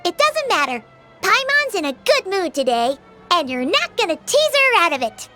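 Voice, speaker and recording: A little game companion with a piping, childlike squeak. She is bubbly and easily indignant, exclaiming in lively swoops and calling herself by name. Music plays clearly behind her. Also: moderate pace. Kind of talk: video game voice acting. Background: game background music.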